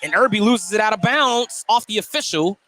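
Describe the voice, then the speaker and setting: An American man doing live radio play-by-play of basketball, with clipped running descriptions and drawn-out calls on baskets. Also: sits high, expressive, bright, forceful tone, poor audio. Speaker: play-by-play commentator. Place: courtside in a basketball gym. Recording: some noise, gym ambience.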